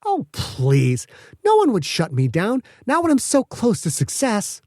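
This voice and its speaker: Man voicing a higher-pitched, brash woman scientist. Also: wildly swooping pitch, a little slow, darkish tone, dry recording, studio quality, exaggerated voice. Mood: indignant. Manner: curt, indignant, snapping. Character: brash, arrogant young woman scientist, defensive and snappish.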